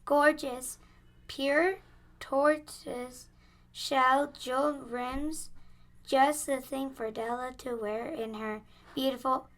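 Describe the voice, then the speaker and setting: A woman's voice: sits high, fairly even intonation, slow, fairly bright tone. A young girl playing a cheerful, gushing friend in a school radio play. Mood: admiring. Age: child, about 9 or 10.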